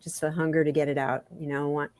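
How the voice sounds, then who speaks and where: A woman with a fairly low voice talks candidly as an interview guest. Her delivery is halting, circling back to repeat phrases for emphasis. The sound is quite dry, with little room echo. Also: flat delivery, darkish tone. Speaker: author, podcast guest.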